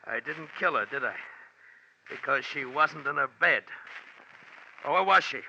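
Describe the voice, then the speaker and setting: Unhinged ex-convict, agitated halting delivery, muddled, old radio drama, dull sound with heavy noise. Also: male voice, fairly high pitch, animated, creaky, pushed and bright, full character voice. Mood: paranoid, menacing. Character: big, wild-eyed, bearded, deranged fugitive ex-convict. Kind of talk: 1940s radio drama acting. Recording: muffled, noisy old radio recording.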